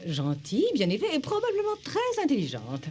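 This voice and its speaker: A genteel headmistress in a cartoon drama. She is formal, effusive and self-important, her pitch swinging widely. Faint music sits behind her. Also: a little fast. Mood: gushing. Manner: formal, flattering, pompous. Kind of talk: French-dubbed animated drama acting.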